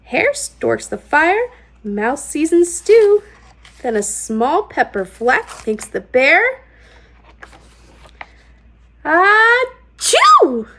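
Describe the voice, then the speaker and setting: A woman hosting a children's storytime, reading aloud in an expressive, sing-song way with deliberate rhythmic phrasing. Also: high-pitched, creaky. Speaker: children's storytime host and read-aloud reader. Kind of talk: expressive picture-book read-aloud.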